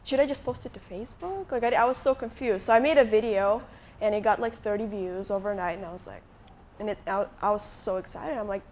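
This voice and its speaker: A woman's voice: mid-range voice, fairly quick, a little mellow. Young woman from moldova chatting away onstage, lots of likes and restarts, bit of background hiss. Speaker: guest YouTuber. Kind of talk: casual onstage interview. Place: conference stage before a live audience.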